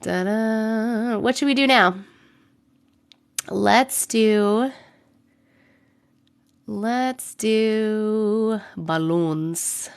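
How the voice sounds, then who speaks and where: A young adult woman live streaming, chatting with viewers and reading stories, her voice a little rough from a cold. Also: mid-range voice, very slow, pauses often. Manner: chatty, greets viewers by name between sentences. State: voice a bit rough from getting over a cold. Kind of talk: casual live stream chat and story reading.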